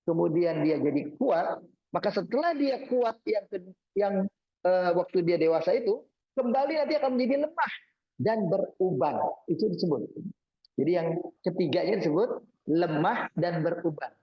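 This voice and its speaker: A man's voice: on the high side, husky, highs cut off. A man giving an Islamic sermon, explaining Quran verses and reciting the Arabic before translating it. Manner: explanatory, repeats points with "ya" and "nah". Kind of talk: religious study lecture.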